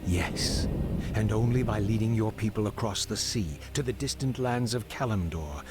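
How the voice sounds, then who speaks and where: A man's voice: lowish voice, normal speed, natural voice. An actor playing a mysterious, otherworldly male prophet in a fantasy game, speaking in a formal, portentous manner. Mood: foreboding, urgent. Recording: game audio under loud music.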